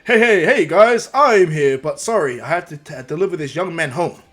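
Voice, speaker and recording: A man voicing a big, exuberant, warm-hearted male superhero in a fan story, hearty and easily flustered. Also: on the high side, expressive, normal speed, husky. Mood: hearty, loud. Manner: loud, hearty, stammers when flustered.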